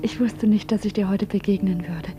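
A woman's voice: medium pitch, fairly even intonation, a little fast, lo-fi sound, close to an everyday voice. An actress playing a tender, wistful, lovestruck woman in her early twenties in a 1950s German radio play. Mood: blissful. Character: dreamy lovestruck young woman of twenty-two. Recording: 1950s radio recording with guitar music. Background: plucked guitar music.

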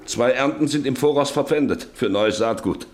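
A man's voice: mid-range voice, normal speed, a little hiss or hum, close to an everyday voice. An actor playing a stern, domineering middle-aged farmer and father in a German radio drama, speaking in a commanding, insistent way. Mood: stern, calculating.

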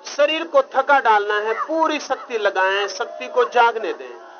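A man's voice: very high voice, lively intonation, a little slow. A man leading a live active meditation, urging the group on with short, repeated, urgent commands. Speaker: meditation leader. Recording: dull noisy live recording, loud crowd behind. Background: noisy crowd of participants.

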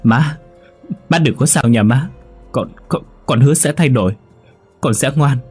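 A young wayward son cries out and begs through his sobs, voice straining high and loud, as a male storyteller acts him out. The voice underneath is husky and low. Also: normal speed, faint music behind. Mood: sobbing. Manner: pleading, sobbing cries. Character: young man, wayward son, desperate and grieving.

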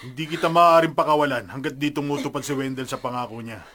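A man playing a calm kidnapper in a radio drama, with restrained, quiet menace. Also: mid-range voice, fast talker, natural voice. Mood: cold, menacing. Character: calm, menacing male kidnapper holding a hostage.

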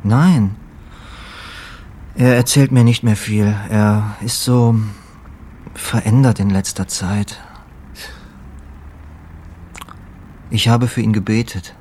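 Withdrawn, low-voiced pious soul. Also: male voice, steady tone, slow, pauses often, slight background noise. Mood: subdued, sad. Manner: hesitant, gently evasive. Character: withdrawn, pious, apologetic male friend, evasive and weary. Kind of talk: German radio drama acting.

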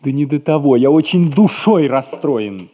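Mellow, lovelorn, self-pitying young clerk. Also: male voice, on the high side, expressive, normal speed. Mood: plaintive. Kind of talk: 1960s theatrical radio comedy acting.

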